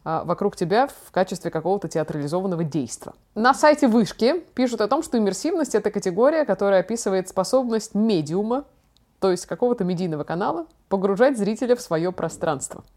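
A woman with an average-pitched voice hosts a podcast. She talks informally and chattily, laying out explanations in a conversational way. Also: animated, fast, darkish tone. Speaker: podcast host. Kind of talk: casual podcast chat with explanations.

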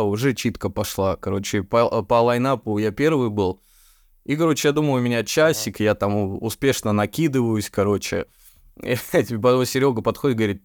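Baritone guy telling stories, swears a lot, laughs, mid pitch, dead dry sound. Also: no room sound. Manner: slangy, swearing storyteller, laughs often. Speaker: podcast guest, rapper. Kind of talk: casual chat.

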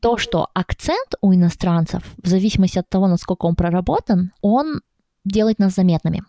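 A woman's voice: fairly low pitch, brisk, warm tone, dry recording, clean studio sound. A woman in her thirties co-hosting a casual podcast, talking directly and frankly as she advises listeners. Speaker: podcast co-host.

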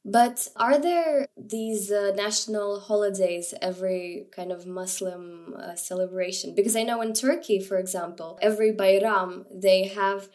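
A woman's voice: medium pitch, measured pace, hardly any pauses, a little mellow. A young woman interviewing a guest, asking curious, playful questions in a casual chat.

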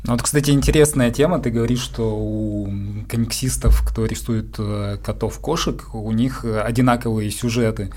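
A man's voice: medium pitch, steady tone, moderate pace, few pauses, darkish tone. A man interviewing someone in a casual, conversational way, feeling out his questions informally as he speaks.

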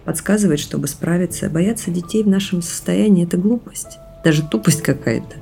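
A woman's voice: fairly low pitch, a little fast, creaky, mellow, faint music behind. An actress playing a candid, reflective woman in an audio drama, talking in a confiding, opinionated way. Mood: frank, resolute. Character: candid, reflective woman, intimate partner hoping for motherhood.

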